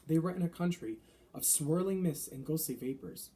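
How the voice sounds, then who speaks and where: A man reading a children's story aloud as an expressive narrator. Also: a little high, normal speed. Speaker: storybook reader.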